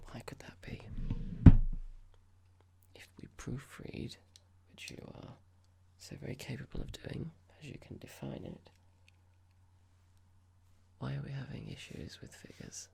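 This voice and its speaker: A hushed, very creaky voice put on by a performer as a horrible office boss in an ASMR roleplay. The boss is coldly menacing yet mock-polite, drawing out patronising questions with deliberate care. The pitch swings noticeably between breathy whisper and fry. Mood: condescending, stern.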